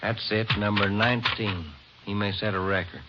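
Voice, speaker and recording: Man playing a lowish-voiced radio police detective. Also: fairly even intonation, moderate pace, heavy background noise, natural voice. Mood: casual, dry. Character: plain-spoken male police detective, loyal practical partner. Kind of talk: 1950s radio crime drama acting. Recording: muffled old radio recording, music behind.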